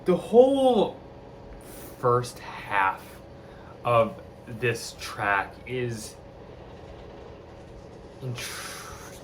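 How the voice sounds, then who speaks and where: A man talks through a metal record at an unhurried, slow pace, his voice in an ordinary middle register. He keeps restarting phrases as he hunts for the right word. A faint background noise sits under the recording. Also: mid-range voice, huge pitch swings, fairly bright tone. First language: not Icelandic. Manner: enthusiastic, halting with frequent restarts. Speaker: album reviewer. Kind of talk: spoken music review.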